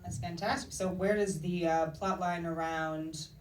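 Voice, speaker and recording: The thoughtful woman moderating a filmmaker chat, her lowish voice carrying from a little way off the microphone. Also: fairly even intonation, a little slow. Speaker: interviewer. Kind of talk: conversational interviewing. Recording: echoey room, distant microphone.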